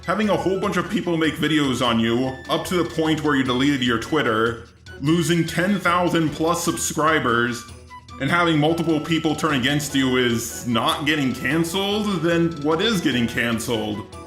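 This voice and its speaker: Sharp-voiced man, argumentative video commentary, sarcastic and indignant, echoey room, faint music. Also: on the high side, lively intonation, moderate pace. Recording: roomy echo with music underneath.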